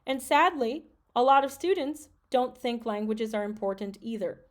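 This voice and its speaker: A woman's voice: on the high side, steady tone, normal speed. A woman from Michigan hosting a podcast, earnestly talking through concerns about education and reading out statistics. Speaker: podcast host and French teacher. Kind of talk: solo podcast commentary.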